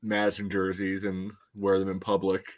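A man co-hosting a soccer fan podcast, chatting casually in a halting, filler-heavy way. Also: sits low, monotone. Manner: halting, peppers talk with "you know". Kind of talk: casual sports chat.

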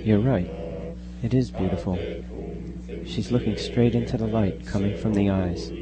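A man's voice: fairly low pitch, normal speed, a loud crowd, lightly acted. A man playing a doubting, bewildered young man in a radio horror drama, earnest and wondering. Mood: entranced wonder.

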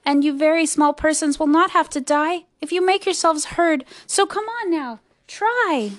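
A woman's voice: high voice, a little slow, pitched above the performer's own voice. A woman voicing a kindly, loyal, anxious elephant from a storybook, with an emphatic, pleading delivery. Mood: earnest encouragement. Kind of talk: storybook character voice.

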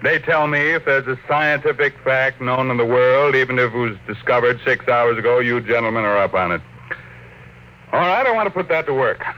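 An actor as a forceful, curt business tycoon. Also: male voice, mid-range voice, fairly quick, close to an everyday voice, rough recording. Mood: commanding. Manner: curt, commanding, brusque. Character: cold, domineering business tycoon, impatient and ruthless. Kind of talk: old-time radio drama acting.

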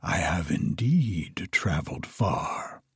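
Man doing a low, whispery mocking dragon. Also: big pitch swings, slow, slightly rough, no room sound, clean studio sound, full character voice. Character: sly boastful male dragon.

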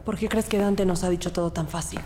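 A woman playing a wary, steely police detective who narrates her case, grave, measured and direct. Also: on the low side, fairly even intonation, warm tone, a little hiss or hum, natural voice. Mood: probing, steely. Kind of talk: dramatic first-person narration and dialogue. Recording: music bed under the voice.

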